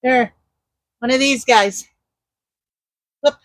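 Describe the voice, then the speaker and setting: A woman chatting loudly while she works in her garden, explaining her steps as she digs. Her mic is clipped to a nearby trellis, and she rambles and hunts for words. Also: high-pitched, slow pace, no echo. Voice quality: loud voice. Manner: talks while digging in the garden. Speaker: gardening vlogger. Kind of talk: casual how-to narration while working. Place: outdoor garden.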